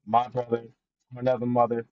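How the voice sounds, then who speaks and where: A man's voice: mid-range voice, monotone, a little fast, no room sound. A man hosting a podcast, speaking casually with many hesitations and slang. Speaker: podcast host. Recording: dry, dull lo-fi sound.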